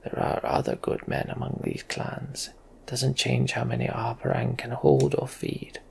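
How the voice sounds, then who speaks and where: A man voicing a gruff, proud and weary old human king in a storybook fantasy game. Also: medium pitch, sing-song, a little slow, darkish tone, slight background noise, heavily acted. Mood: cold, contemptuous.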